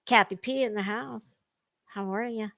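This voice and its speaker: A woman's voice: a little high, a little slow, gravelly, fairly bright tone, no room sound, studio quality. A woman hosting a livestream, chatting casually with viewers in a rambling, informal way. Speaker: livestream host.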